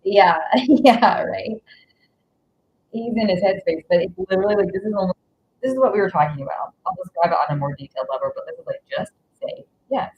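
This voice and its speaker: A woman's voice: mid-range voice, lively intonation, brisk, breathy edge, lo-fi sound. A woman doing a live tarot reading in casual chat, rambling with many fillers and giggles. Her nose is stuffy. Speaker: tarot reader.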